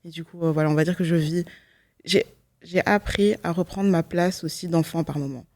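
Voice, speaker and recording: A woman's voice: lowish voice, steady tone, moderate pace, darkish tone, no echo. A woman, giving a personal testimony in a reflective, hesitant way, often correcting herself. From Belgium. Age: 20s. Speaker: guest, law student giving testimony.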